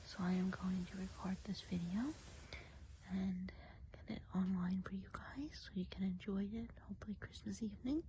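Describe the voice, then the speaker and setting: Soft whispering woman, mellow and breathy. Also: mid-range voice, fairly even intonation, normal speed, low-quality recording. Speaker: ASMR video host. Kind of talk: ASMR whispering, casual intro. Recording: faint background noise.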